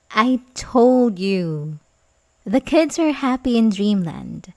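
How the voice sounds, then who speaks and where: A woman's voice: on the high side, big pitch swings, drawn-out words, darkish tone, exaggerated voice. A young woman acting an ASMR roleplay as a sweet, coaxing, secretly obsessive babysitter, speaking hesitantly and intimately with little giggles. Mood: coaxing, playful.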